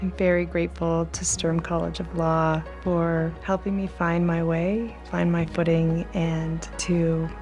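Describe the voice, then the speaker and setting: A woman in her thirties talks earnestly about her work in an interview. Her voice sits a little low and carries a gravelly fry. Soft music plays underneath. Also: flat delivery, unhurried, few pauses. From Mexican American, grew up speaking Spanish. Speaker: law alumna, nonprofit executive director. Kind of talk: interview for a tribute video.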